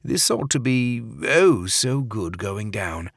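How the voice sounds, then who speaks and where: A man voicing an undercover businessman who puts on a fake English accent, smooth and nervously ingratiating. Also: lowish voice, big pitch swings, slow pace, no room sound, studio quality, voice pushed up, full character voice.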